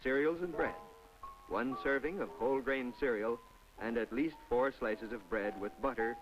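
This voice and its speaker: A man narrates a vintage 1950s educational film over background music. His voice sits at a middle pitch. He is instructive yet folksy, slipping in conversational asides as he explains things to the viewer. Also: normal speed, highs cut off. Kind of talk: 1950s public information film narration, scripted.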